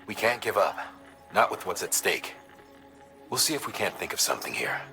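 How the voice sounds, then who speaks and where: A resolute game commander with a low, deep voice. He briefs in a measured, earnest and encouraging way, with music playing behind him. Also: sing-song, vocal fry, bright, forceful tone, heavily acted. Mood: concerned, determined. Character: earnest, resolute male mission commander advising a courier. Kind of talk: video game dramatic dialogue.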